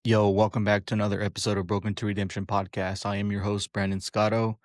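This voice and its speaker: A man's voice: lowish voice, flat delivery, fast talker, dry recording, studio quality. A man hosting a podcast, talking in a laid-back, plain-spoken way. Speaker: podcast host. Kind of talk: casual interview.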